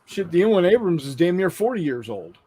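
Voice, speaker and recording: Higher-voiced man in casual chat. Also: sing-song, brisk, dark tone, a little hiss or hum. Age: 50s. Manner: blunt, swears freely. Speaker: host. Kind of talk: casual chat, reading live viewer comments.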